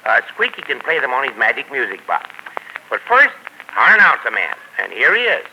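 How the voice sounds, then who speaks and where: A man's voice: mid-range voice, wildly swooping pitch, brisk. A man hosting and narrating a 1940s children's radio show in a folksy, chatty manner, on a noisy old broadcast.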